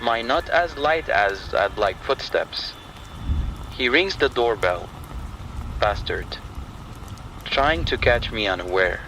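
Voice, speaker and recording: A man's voice: medium pitch, expressive, slow, pauses often, bright, forceful tone, poor audio. A man performing a scripted first-person horror monologue in clipped, tense phrases. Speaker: horror story narrator. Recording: faint rain and ambient noise. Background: rain and thunder sounds.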